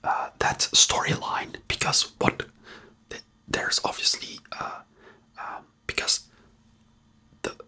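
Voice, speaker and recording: Breathy whisper, non-native English accent, ASMR commentary, crisp sharp sibilance, hesitant, rambling. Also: takes it slowly, long pauses. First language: not English. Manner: hesitant, rambling, searching for words. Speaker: host.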